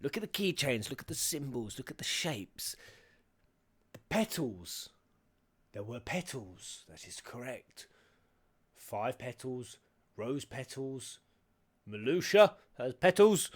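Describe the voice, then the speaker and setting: A man giving casual, excitable live commentary on game cutscenes, thinking aloud in questions. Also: mid-range voice, slow, pauses often. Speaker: host reacting to video game cutscenes.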